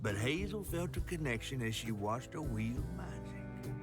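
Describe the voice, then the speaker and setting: A man voicing a folksy creature who narrates a video game like a storybook, measured and theatrical. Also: mid-range voice, expressive, lightly acted. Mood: storytelling, wondrous. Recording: loud music under the voice. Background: loud game music.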